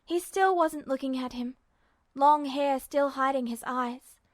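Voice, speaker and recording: A woman reading fiction aloud as an expressive, scripted audiobook narration. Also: high-pitched, measured pace, no echo. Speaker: fan fiction audiobook reader.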